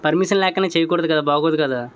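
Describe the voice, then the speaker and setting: A man's voice: on the high side, fast, clean tone. A man chatting flirtatiously on a phone call in a polite, coaxing way. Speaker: prank caller.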